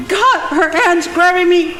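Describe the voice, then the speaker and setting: An actress playing a fearful, fragile wife in a gothic horror radio drama, theatrical and anguished. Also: female voice, very high-pitched, measured pace, over music. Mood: terrified, pleading. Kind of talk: stage radio drama acting. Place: live theatre stage. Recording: reverberant theatre stage, clear noise behind.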